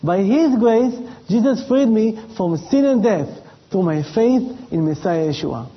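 An Israeli man with a high voice speaks slowly and deliberately, pausing often, as a missionary addressing a congregation. His accent is clear. The recording sounds dull and lo-fi. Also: animated. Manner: slow, deliberate, with pauses and fillers. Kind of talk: church presentation.

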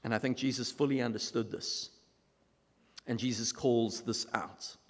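Slow, emphatic South African preacher. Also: male voice, mid-range voice, steady tone. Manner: measured, emphatic, with dramatic pauses. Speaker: pastor. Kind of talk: sermon. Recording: long reverb of a large hall.

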